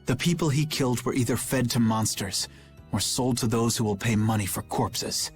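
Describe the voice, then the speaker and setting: An actor playing a principled young knight captain in a video game, formal, solemn and sincere. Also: male voice, medium pitch, moderate pace, faint music behind, natural voice. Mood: troubled, grave.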